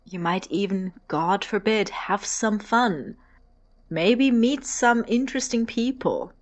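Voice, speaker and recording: A woman playing an animated, teasing young student. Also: medium pitch, takes it slowly. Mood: coaxing. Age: young adult. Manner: teasing, coaxing. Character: playful, persuasive young college student, teasing and outgoing. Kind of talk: naturalistic audio drama acting.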